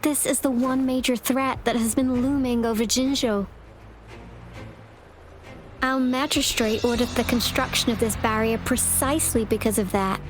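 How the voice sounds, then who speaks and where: A woman performs a composed, earnest female official in charge of a city's defenses. Her voice sits fairly high and she speaks formally, with clear wording. It is video game dialogue, set over prominent music. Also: fairly even intonation, moderate pace, natural voice. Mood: serious. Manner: formal, articulate. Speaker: game character, director of defense fortifications. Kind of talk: English game dub dialogue. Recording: studio voice under loud music. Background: game soundtrack music.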